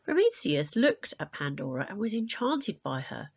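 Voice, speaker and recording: A woman teacher reading a children's picture book aloud expressively, with deliberate, dramatic emphasis on key words. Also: lowish voice, very animated, creaky, husky. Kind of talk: expressive storybook read-aloud.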